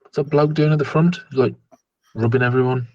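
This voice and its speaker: Husky man on a dull internet call. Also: mid-range voice, steady tone, a little fast, no room sound. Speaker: tabletop game player. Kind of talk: improvised in-character banter.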